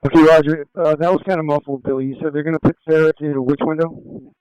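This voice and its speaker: A man's voice: fairly high pitch, flat delivery, fast talker, darkish tone, smooth, no echo. A man who is a police officer giving matter-of-fact procedural updates over lo-fi scanner radio.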